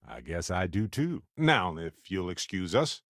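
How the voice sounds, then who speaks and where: A low, very lively voice in a close, dry recording, a middle-aged space explorer from a video game. He is genial and curious, talking conversationally with a wry edge. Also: a little slow, no echo, heavily acted. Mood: casual, chatty. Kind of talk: video game character dialogue.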